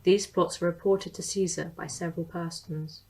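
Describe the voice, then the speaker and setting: A young woman giving a formal, steady dramatic reading of an ancient historical account. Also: fairly low pitch, moderate pace, slightly rough, poor audio. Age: young adult. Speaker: student reader.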